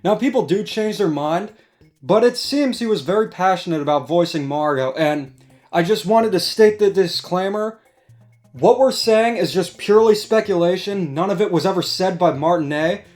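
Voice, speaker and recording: Guy hosting a chatty commentary, kinda high voice, music in the background. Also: normal speed, darkish tone. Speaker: host. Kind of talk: casual discussion commentary. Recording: music playing behind the voice.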